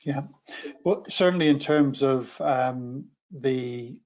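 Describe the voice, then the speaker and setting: A man's voice: medium pitch, slow pace, a little mellow. A man, a council officer answering questions on a remote meeting call, speaking hesitantly with many ums and restarts. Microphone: video call. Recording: dull lo-fi remote call audio.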